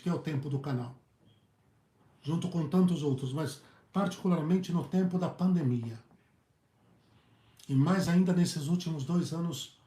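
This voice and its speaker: A hoarse male priest with a Brazilian Portuguese accent, preaching earnestly in halting phrases and often repeating his words. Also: fairly high pitch, dark tone. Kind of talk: preached talk on a video channel. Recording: echoey room.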